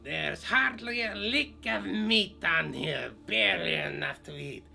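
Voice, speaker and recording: A man voicing a greedy old witch disguised as a blind traveler, with a shrill cackling manner. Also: measured pace, gravelly, sharp tone, full character voice. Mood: ravenous.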